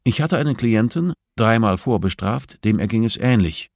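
A man's voice: on the low side, expressive, normal speed, a little mellow, dry recording, clean studio sound. A man voicing a composed, firm female prison therapist who speaks politely and insistently, as audiobook dialogue. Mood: probing, calm.

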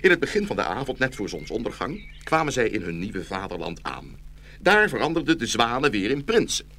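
A man's voice: mid-range voice, very animated, fairly quick, gravelly, bright, forceful tone, slight background noise. A man narrating a fairy tale as a scripted storyteller.

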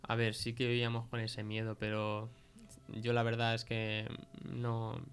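Very monotone Spanish man acting as witness. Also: mid-range voice, unhurried, lightly acted. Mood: matter-of-fact. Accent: Spain. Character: matter-of-fact male film crew worker as witness. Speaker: interview guest. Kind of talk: conversational fictional testimony.

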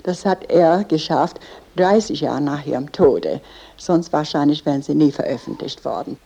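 A woman's voice: sits low, expressive, moderate pace, creaky, warm tone, husky. A woman with a foreign accent giving an informal, earnest explanation as a tour guide, in non-native phrasing.

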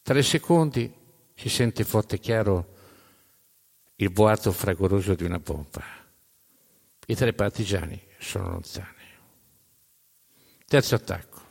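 A man narrating history as dramatic storytelling, in emphatic, measured phrases with pauses. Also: lowish voice, lively intonation, gravelly, a little mellow. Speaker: narrator of a storytelling stage show. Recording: long hall reverb.

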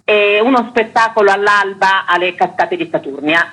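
A woman's voice: mid-range voice, normal speed, fairly bright tone, low-quality recording. A woman festival director giving interview answers and running through a programme. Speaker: festival artistic director, guest.

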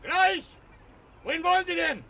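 Shrill, curt ship's crewman. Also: sing-song, moderate pace, dry recording, exaggerated voice. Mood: brisk hailing. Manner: shouting, curt. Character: scrawny, brisk male ship's crewman hiring hands. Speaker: actor. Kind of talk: German radio play acting.

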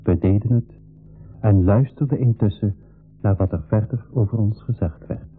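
A man's voice: on the low side, normal speed, narrow, tinny audio, natural voice. An actor playing a young, anxious male adventurer who also narrates a 1980s school radio drama. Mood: earnest, grave. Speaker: narrator and character in a radio play. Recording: band-limited radio recording, faint music.

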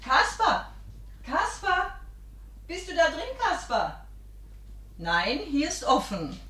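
A woman's voice: high voice, huge pitch swings, takes it slowly, bright, forceful tone, exaggerated voice. An actress playing an anxious middle-aged mother in a radio play, calling out urgently for a missing boy. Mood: worried calling. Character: anxious middle-aged mother searching for her foster son. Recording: echoey room.

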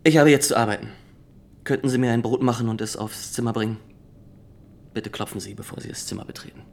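A man's voice: mid-range voice, quick pace, vocal fry, a little mellow, lightly acted. An actor in a German radio drama playing a rigid, dutiful male inspector with formal, clipped, officious speech. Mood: stiff, guarded. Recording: noticeable ambient background noise.

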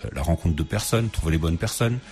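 A man's voice: sits low, fast, darkish tone, faint music behind. A man hosting a casual radio interview, chatty, joking and laughing often. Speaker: radio host.

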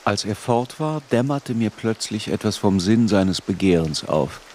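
A man's voice: lowish voice, lively intonation, unhurried, a little mellow, slight background noise. A man playing an adult narrator in a radio play, looking back on a tormented boyhood in literary, introspective narration. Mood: melancholy, reflective.

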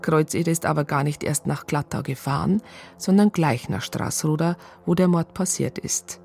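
A woman with a husky voice reading scripted narration for a radio drama. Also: deep voice, normal speed, dark tone, soft background music.

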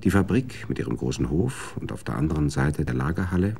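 Deep, gravelly radio-drama narrator. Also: male voice, expressive, dark tone, soft background music. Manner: measured, sober storytelling.

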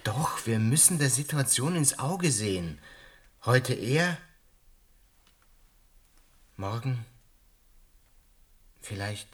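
An actor playing a gentle, melancholic butterfly enthusiast in a radio drama, measured, reflective and hesitant, with a husky voice. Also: male voice, mid-range voice, expressive, no echo, full character voice. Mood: firm, calming. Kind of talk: radio drama acting and first-person narration.

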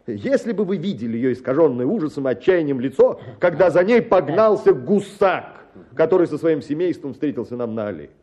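An actor playing a pompous, self-styled writer holding forth to a bachelors' club, declaiming grandly in a radio play. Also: male voice, a little high, very animated, a little fast, warm tone. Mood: boastful. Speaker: speaker at a bachelors' club meeting. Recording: slightly dull radio recording, crowd murmur. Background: murmuring male audience.

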